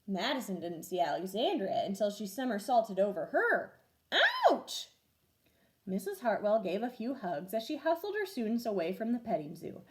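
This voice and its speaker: A woman reading a children's storybook aloud as a teacher would, expressive and clearly paced for young listeners. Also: medium pitch, normal speed. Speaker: first grade teacher reading stories aloud.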